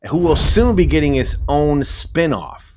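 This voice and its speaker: A man's voice: a little high, expressive, unhurried, darkish tone, a little hiss or hum. A man guesting on a podcast, chatting casually about movies, swearing freely and laughing at his own jokes.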